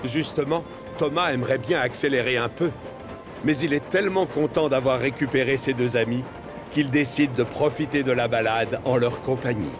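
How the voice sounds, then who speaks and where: A man's voice: mid-range voice, moderate pace, over music. A man narrating a children's cartoon like a scripted storybook.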